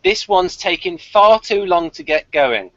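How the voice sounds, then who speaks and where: A man's voice: high-pitched, wildly swooping pitch, unhurried, creaky, fairly bright tone, no echo, muffled recording. A man hosting a casual review, reading his notes aloud with dry, jokey asides. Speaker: podcast host reading out his episode review.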